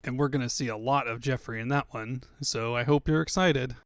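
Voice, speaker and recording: A man's voice: medium pitch, fairly even intonation, brisk, clean tone, no room sound, clean studio sound. A man hosting a comic fandub with casual, chatty commentary, joking and swearing freely. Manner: jokey, sweary. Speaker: host and fandub reader.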